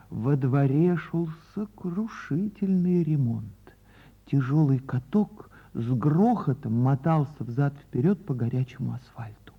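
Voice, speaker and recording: A woman narrates a fairy tale in the manner of a radio theatre actress. Her husky voice rises and falls widely, lingering on words with dramatic pauses. The recording is slightly dull, as from older radio. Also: deep voice. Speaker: actress reading a story.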